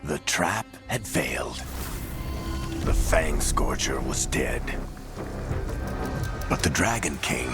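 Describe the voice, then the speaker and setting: An actor narrating a game's villain tale as a grave storyteller, slow, ominous and measured. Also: male voice, animated, full character voice. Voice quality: very deep. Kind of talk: scripted dramatic narration. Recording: music loud behind the voice. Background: loud music.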